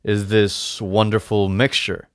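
A man voicing an eager, naive, lovestruck customer in a storybook tale, earnest and excitable. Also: fairly low pitch, takes it slowly, no echo, studio quality. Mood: earnest, grateful. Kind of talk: storybook character voice in a read-aloud tale.

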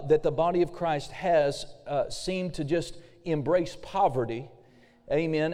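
A middle-aged male pastor preaching a fervent sermon with frequent amens, his voice slightly hoarse and a little raspy. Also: a little high, dark tone. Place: church sanctuary. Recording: long hall reverb.

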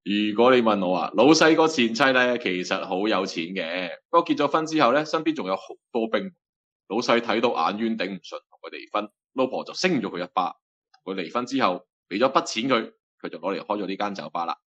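Man as a laid-back burly bartender, hong kong cantonese accent, lowish voice, dull lo-fi sound. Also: expressive, brisk, fairly bright tone. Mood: easygoing. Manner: easygoing, storytelling. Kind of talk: Cantonese radio drama acting.

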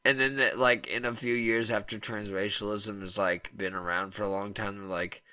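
A man chats on a comedy podcast in crude, loose banter and runs with silly ideas. His voice sits slightly low but comes across sharp and forceful. The sound is close and dry, with no room around it. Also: fairly even intonation, moderate pace, clear, resonant tone, no room sound, rough recording. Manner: crude, joking, riffs on absurd ideas. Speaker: podcast co-host.

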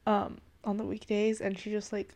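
A woman's voice: mid-range voice, monotone, normal speed, gravelly, darkish tone. Congested young woman chatting casually. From Washington state, US. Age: young adult. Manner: rambling, full of "like" and "literally", with giggles. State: congested from allergies. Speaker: podcast host. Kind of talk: casual chat. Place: her dark bedroom at night.

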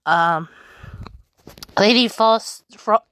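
A woman hosting a wrestling fan podcast, chatting casually in a halting way with lots of fillers. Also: mid-range voice, fairly bright tone. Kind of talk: casual chat reading news and tweets.

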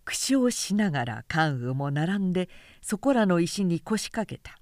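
A woman who narrates a literary novel as a professional reader, in a measured and deliberate manner. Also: fairly low pitch, big pitch swings, darkish tone, no echo, studio quality.

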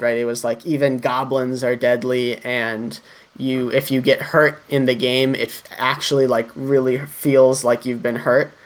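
A man co-hosting a casual anime podcast, rambling through his points with lots of fillers, restarts and "like". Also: medium pitch. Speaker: podcast co-host.

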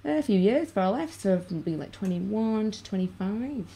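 A woman's voice: medium pitch, lively intonation, normal speed, mellow, breathy edge, poor audio. A woman with a Yorkshire accent, a guest and vlogger chatting casually and rambling a little. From England. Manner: chatty, rambling, laughs easily. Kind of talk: casual chat.

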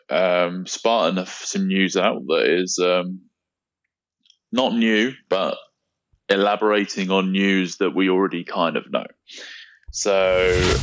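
A man's voice: low voice, no echo. A man co-hosting a podcast in casual chat, laughing often and reading out race news. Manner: laughs often, relaxed and chatty. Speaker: podcast co-host. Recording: dull lo-fi, dry sound.

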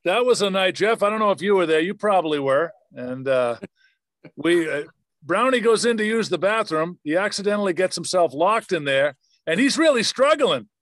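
A man's voice: high voice, expressive, normal speed, fairly bright tone, no echo. A man hosting a casual, conversational interview, asking questions and reminiscing. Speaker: host.

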